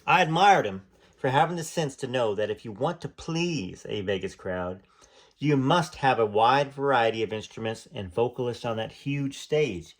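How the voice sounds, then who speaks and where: A man's voice: medium pitch, animated, moderate pace. A man reading aloud from a memoir in an earnest tone, stopping now and then for chatty, hesitant asides. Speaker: channel host reading from a memoir.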